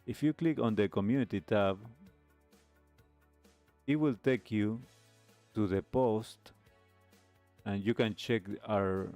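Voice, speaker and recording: A man hosting a live stream radio show, speaking reflectively in a measured, halting way with pauses. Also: lowish voice, dark tone, no echo. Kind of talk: reflective host talk, signing off a live stream. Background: music playing under the voice.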